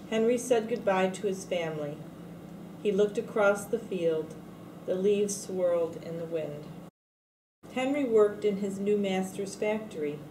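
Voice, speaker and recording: A woman's voice: medium pitch, warm tone. A woman on a school staff reading a storybook aloud to children, with expression on the quoted lines. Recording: echoey room with faint noise.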